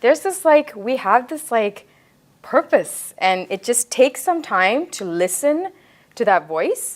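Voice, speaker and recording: A woman, chatting animatedly as a podcast guest. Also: a little high. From Canada. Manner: animated, quick, lots of fillers. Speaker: podcast guest, relations advisor. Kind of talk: casual conversational interview.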